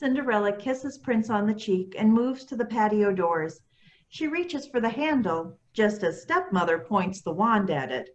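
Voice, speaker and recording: A woman's voice: mid-range voice, animated, measured pace. A woman reading stage directions as scripted narration for a play.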